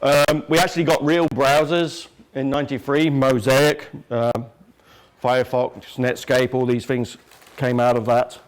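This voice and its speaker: A man's voice: medium pitch, little pitch movement, unhurried. A man with an English accent speaking informally to an audience as a guest presenter, with a joking, self-deprecating manner. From England. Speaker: guest speaker from an internet governance organisation. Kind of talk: informal talk with slides. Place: crowded lecture room before an audience.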